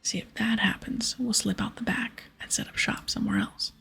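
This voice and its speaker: A gruff male pirate captain from a video game, acted out by a woman, speaks in a very breathy, half-whispered rasp. The delivery is dramatic and full of old sea-dog turns, with deep fry in the voice and music behind it. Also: steady tone, moderate pace, a bit bright, exaggerated voice. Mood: conspiratorial. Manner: archaic pirate talk with arrs and ayes. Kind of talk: ASMR video game dialogue read-aloud.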